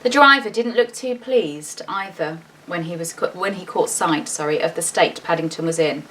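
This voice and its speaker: A woman's voice: on the low side, moderate pace, fairly bright tone. A woman reading a storybook to children expressively, with chatty, informal asides as she shows the pictures. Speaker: school teacher reading a storybook aloud. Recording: distant mic with faint background noise.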